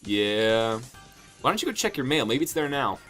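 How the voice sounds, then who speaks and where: A man's voice: mid-range voice, sing-song, normal speed, a bit bright, soft background music. A man voicing a cool, sarcastic, foul-mouthed teenage boy with a dry, slangy manner in an amateur comic dub. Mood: nonchalant.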